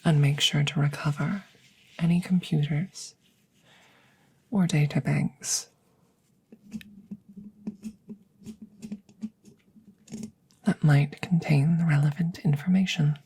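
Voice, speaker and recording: A woman's voice: sits low, fairly even intonation, a little slow, a little mellow, husky, full character voice. A woman voicing a curious, clinical alien scientist in an ASMR roleplay, whispering breathily and phrasing things formally and precisely, close to the microphone. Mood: calm, businesslike. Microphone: close microphone, ASMR style.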